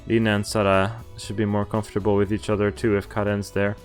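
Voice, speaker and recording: A man's voice: fairly low pitch, monotone, quick pace. A man streaming a game, casually reading its text aloud and adding laid-back, wry asides. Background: game music.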